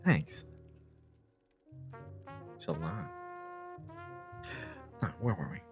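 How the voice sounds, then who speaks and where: A low-pitched man chats on his live stream in a relaxed, playful way. His voice swings widely between quiet, breathy asides and bright, eager bursts. Faint music plays underneath. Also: very slow. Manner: laid-back and playful, chuckling, shifting between hushed asides and upbeat bursts. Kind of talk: casual live-stream chat, reading viewer messages aloud.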